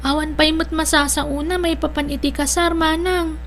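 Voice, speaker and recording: Casting: actress as timid, hesitant young bride for Ilocano radio-style drama, high voice, slight noise behind. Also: female voice, steady tone, moderate pace, a bit bright. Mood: uncertain. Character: meek, uncertain young bride-to-be. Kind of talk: Ilocano audio drama acting.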